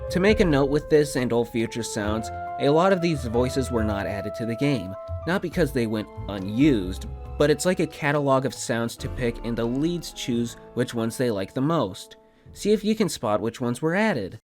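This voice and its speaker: A man's voice: mid-range voice, animated, moderate pace, darkish tone. A man with a mid-range voice delivers scripted narration in the manner of a video creator. Music plays faintly behind him.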